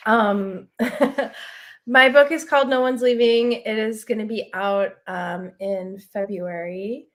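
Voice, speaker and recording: A woman author reading her own literary prose aloud over a video call, unhurried and soft-spoken, with casual asides. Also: medium pitch, fairly even intonation.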